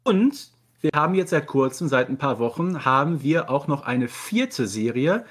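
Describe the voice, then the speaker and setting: A man's voice: fairly high pitch, very animated, moderate pace, fairly bright tone. A man talking casually as a podcast guest, explaining things in detail.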